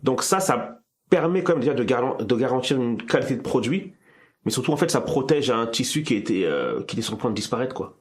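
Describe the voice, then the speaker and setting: A man with a mid-range voice hosts a relaxed discussion. He thinks aloud, restarting phrases and repeating words as he builds his point. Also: mid-range voice, brisk. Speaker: podcast host. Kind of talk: casual discussion.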